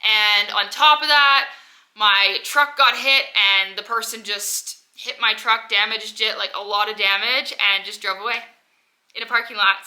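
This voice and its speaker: Bright-voiced young woman with a cold. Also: medium pitch, rough recording. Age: 20s. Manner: rambling, heavy use of "like". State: stuffy with a head cold. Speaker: vlogger. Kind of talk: casual personal vlog.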